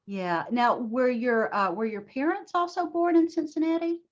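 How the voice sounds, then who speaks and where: Woman interviewer, mid pitch, encouraging, dull muffled recording. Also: normal speed. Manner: warm, encouraging, with short affirmations. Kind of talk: oral history interview.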